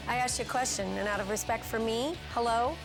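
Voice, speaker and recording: A woman's voice: a little high, fairly even intonation, fast talker, music in the background. A middle-aged woman talking candidly on reality TV in a blunt, assertive way. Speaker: reality show participant, mother.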